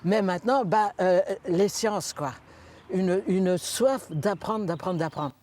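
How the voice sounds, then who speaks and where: Older man, 60s, interview, hesitant, repeats words, high-pitched voice. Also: slow pace, darkish tone. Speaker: interviewee, adult bac candidate. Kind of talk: casual interview.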